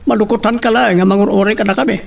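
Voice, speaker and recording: Flirty ilocano rich boss, creaky voice a bit far from the mic, music under, radio drama. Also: male voice, sits high, steady tone, racing. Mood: bossy, teasing. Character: well-off male boss and husband, boastful flirt.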